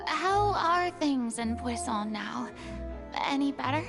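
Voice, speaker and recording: A woman's voice: high voice, animated, slow, gravelly, music in the background, exaggerated voice. A woman voicing a melancholy, refined young woman in a video game, wistful and formal. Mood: subdued, sorrowful. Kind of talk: English video game voice acting.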